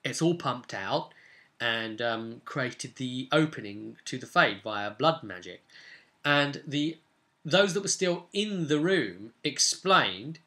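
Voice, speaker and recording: A man with a bright, forceful voice at a middling pitch talks casually as a game master. He recaps a tabletop role-play session like an animated storyteller, repeating phrases to drive points home. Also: takes it slowly. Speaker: game master and vidcast host.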